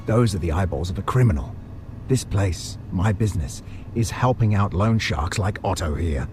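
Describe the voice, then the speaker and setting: A man playing a maniacal butcher villain in an audio drama, taunting in a theatrical, drawn-out way. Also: deep voice, expressive, over music. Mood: gloating. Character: menacing maniacal butcher villain, gloating over his victim.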